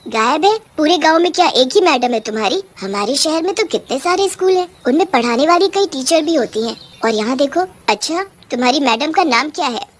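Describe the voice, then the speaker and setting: A woman's voice: sits high, expressive, brisk, hardly any pauses. A woman voicing a fussy, indignant female witch ghost in a cartoon story, with a scolding, complaining manner.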